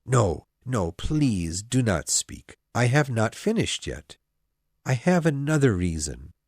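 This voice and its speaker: A woman voicing a pompous, self-satisfied young male clergyman who proposes, speaking in a slow, smug, insistent way. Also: a bass voice, very animated, a little mellow, husky, no echo, studio quality, voice pushed down, heavily acted. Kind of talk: storybook character voice.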